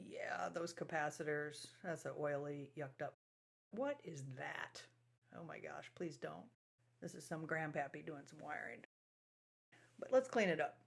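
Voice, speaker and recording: Casual, folksy restoration video narrator, medium pitch. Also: gravelly. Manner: folksy, colloquial phrasing. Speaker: host of a restoration project video.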